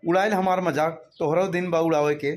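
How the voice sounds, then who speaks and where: Man voicing a high-pitched, whining village uncle. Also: steady tone, a little fast, natural voice. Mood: complaining. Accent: Awadhi. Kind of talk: comic cartoon dialogue.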